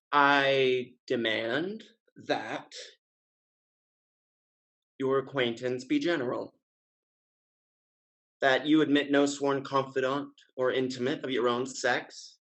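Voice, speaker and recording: A man's voice: fairly high pitch, bright, forceful tone, low-quality recording. A man playing a witty playboy suitor in a Restoration comedy, speaking in a wry, mock-formal, declamatory way. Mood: wry, bantering. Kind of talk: Restoration comedy stage dialogue.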